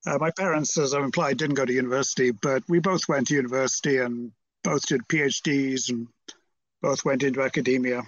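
A man's voice: mid-range voice, steady tone, fairly quick, no room sound, highs cut off. A British man in his seventies from Merseyside, talking reflectively as an interview guest in a hesitant, rambling, understated way. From Merseyside, England. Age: 70s.

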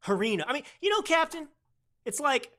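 Bright-voiced sarcastic male podcast host. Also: very high-pitched, big pitch swings, brisk. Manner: sarcastic, mocking, rambling with restarts. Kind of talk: political commentary podcast.

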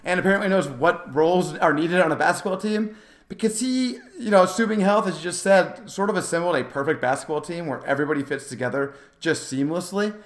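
A man's voice: high voice, fairly quick. A man co-hosting a sports podcast, casually discussing basketball and often backtracking mid-thought as he makes his points. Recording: roomy, echoey sound.

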